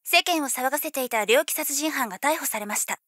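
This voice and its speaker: A woman's voice: sits high, fairly quick, fairly bright tone, no echo, clean studio sound. A woman playing an earnest young TV news announcer in an anime audio drama.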